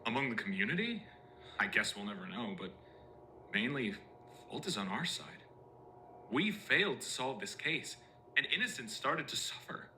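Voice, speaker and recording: An actor playing a self-assured, persuasive male official in a horror drama, speaking in a deliberate, theatrical and emphatic way. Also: mid-range voice, very animated, bright, forceful tone, slight background noise. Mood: measured, decisive. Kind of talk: scripted analog horror drama acting.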